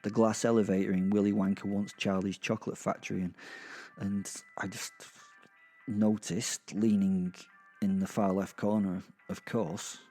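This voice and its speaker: A British man narrating a darkly comic audio drama in the first person, with wry, conversational, halting asides. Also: on the low side, level tone, warm tone, soft background music.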